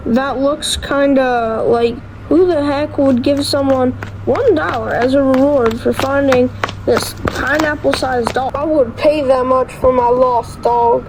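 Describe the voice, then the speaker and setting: A high, slow voice from a woman plays a hungry homeless man in an amateur radio play. Faint noise sits behind the lines. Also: steady tone. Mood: puzzled. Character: hungry homeless man Kim Jim and dog's owner.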